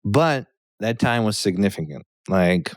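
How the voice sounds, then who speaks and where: A man's voice: on the low side, lively intonation, unhurried, no echo, studio quality. A Polish-born man in his thirties, a guest comedian, telling a rambling story on a podcast and checking in with "yeah?" as he goes. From Poland.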